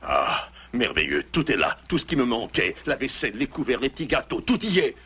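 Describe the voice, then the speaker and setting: A man's voice: medium pitch, wildly swooping pitch, brisk, sharp tone, husky, exaggerated voice. A man voicing a sensitive, self-pitying loner animal in a French cartoon, with a plaintive, formal and dramatic delivery. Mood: delighted. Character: sensitive, self-pitying male loner animal, once wronged, gruffly kind. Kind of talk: French cartoon dub acting.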